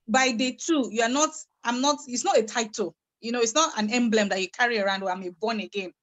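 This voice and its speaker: A woman in her thirties with a fairly high voice speaks on a panel over a video call. She is conversational but emphatic, posing questions and answering them herself. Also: a little fast, a bit bright. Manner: emphatic, asks rhetorical questions. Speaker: panelist at a church youth congress. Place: room at home, video call.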